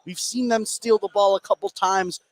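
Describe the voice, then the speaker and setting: A man with a fairly high voice adds color commentary to a live basketball game. He talks conversationally, with the gym crowd clearly audible behind him. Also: fairly even intonation, moderate pace. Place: courtside in a gymnasium.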